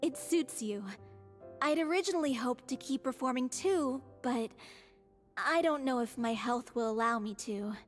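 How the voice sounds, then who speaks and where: Woman doing a frail young stage actress, high voice, quiet and resigned, game scene with music. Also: moderate pace, lightly acted. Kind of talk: game voice acting.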